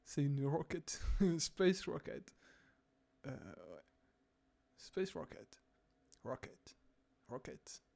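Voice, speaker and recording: A young man casually reacting to videos, hesitant with many fillers, sometimes bursting into loud exclaiming. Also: a little high, big pitch swings, extremely slow, vocal fry, long pauses. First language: not English.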